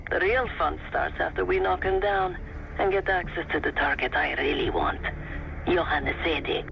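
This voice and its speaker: An actor playing a menacing, calculating mercenary in a video game, laying out a job in a cold, taunting manner. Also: male voice, on the high side, fairly even intonation, moderate pace. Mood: cold, scheming. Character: menacing, coolly calculating underworld mercenary plotting a hit. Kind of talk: scripted mission briefing. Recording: dull, muffled, with clear background noise.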